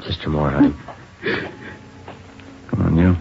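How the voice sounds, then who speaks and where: An actor playing a sober, plain-spoken police detective in a 1950s radio drama, with a clipped, understated, matter-of-fact delivery. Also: male voice, extremely low pitch, steady tone, slow pace, vocal fry, full character voice. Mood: calm, sober. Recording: muffled old radio recording, faint music.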